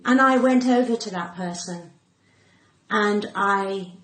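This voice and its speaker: A woman's voice: medium pitch, slow pace, fairly bright tone. Cut-glass older English woman, roomy recording. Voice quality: cut-glass, well-spoken. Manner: dramatic, acting out quoted speech. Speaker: podcast guest, comedian and actor. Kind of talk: candid anecdotal storytelling in an interview. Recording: roomy echo, faint background noise.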